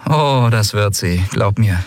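A man's voice: lowish voice, expressive, slow pace, no echo, clean studio sound, pitched above the performer's own voice. A man voicing a determined young female airship captain who speaks emotionally and pleadingly.

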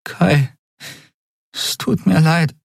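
A man's voice: medium pitch, moderate pace, breathy edge, dry recording, clean studio sound, pitched above the performer's own voice, lightly acted. A man voicing an earnest, emotional and determined young woman in a fantasy audiobook, with an intense, pleading delivery. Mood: tearful, apologetic. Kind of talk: audiobook character acting.

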